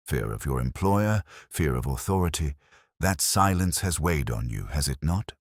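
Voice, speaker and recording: A calm, courteous inspector in a spoken mystery, as a male narrator gives him voice. He speaks in a measured, quietly probing way, his tone very deep but rising and falling freely. The sound is dry and close. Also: dry recording, heavily acted.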